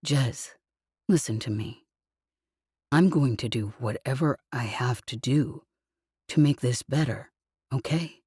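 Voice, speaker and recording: A woman voicing an injured young man who feels drunk, mumbling and halting gently. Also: very deep voice, husky, dry recording, clean studio sound, voice pushed down, exaggerated voice. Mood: tender, groggy.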